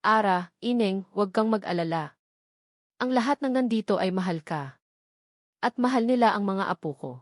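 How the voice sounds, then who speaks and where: A woman narrator plays a kindly older Filipino woman in a romance story. The manner is motherly and reassuring, the pitch average, the sound dry and close. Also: lively intonation, moderate pace, pauses often, no echo, lightly acted.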